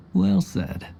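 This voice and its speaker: A man playing a polite, good-humored investigator in a video game, speaking formally and with deliberate precision. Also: medium pitch, creaky, noisy background, heavily acted. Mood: amused. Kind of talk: game voice acting. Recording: game music behind.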